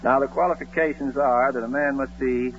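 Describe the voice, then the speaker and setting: A man, an army officer, answering interview questions in a formal, halting, explanatory way. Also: mid-range voice, fairly even intonation, highs cut off. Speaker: army air officer, radio guest. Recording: band-limited old radio recording, faint noise.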